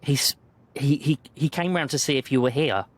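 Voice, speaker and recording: A man's voice: moderate pace, close to an everyday voice. A man voicing a frantic, fussy uncle who stammers with worry. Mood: anxious. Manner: flustered, stammering, high strained voice.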